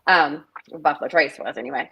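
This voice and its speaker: A middle-aged woman from northern Minnesota talks on a video call in a slightly low voice. She rambles casually and fills gaps with "um" and restarts, sounding easygoing and sincere. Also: a little fast, gravelly, slightly rough, highs cut off. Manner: chatty, rambling with fillers. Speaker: festival director, guest. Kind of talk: casual interview chat.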